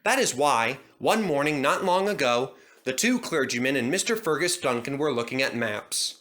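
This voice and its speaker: A man's voice: mid-range voice, expressive, moderate pace, a bit bright. A man narrating a scripted children's story as a storybook reader.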